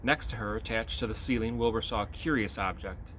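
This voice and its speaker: A man's voice: fairly low pitch, brisk, fairly bright tone. Man reading aloud outdoors, faint background noise. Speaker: teacher reading a children's book aloud. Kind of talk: casual talk and expressive read-aloud. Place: outdoors on a home back patio.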